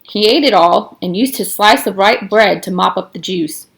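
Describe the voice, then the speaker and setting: Female read-aloud narrator, mid-range voice, expressive storybook delivery with emphatic dialogue. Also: mid-range voice, normal speed, vocal fry.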